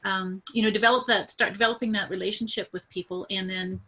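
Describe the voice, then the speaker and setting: A nervous woman explaining her business idea on a video call, halting and full of fillers and restarts. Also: medium pitch, steady tone, bright, forceful tone, husky. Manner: hesitant, many fillers and restarts. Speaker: coaching client.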